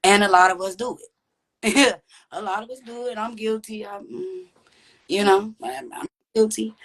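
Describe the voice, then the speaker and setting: A woman with a deep, raspy voice, speaking candidly and reflectively as a podcast guest. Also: a little slow, fairly bright tone. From Texas. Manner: reflective, earnest, with frequent "you know what I'm saying". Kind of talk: candid conversational interview.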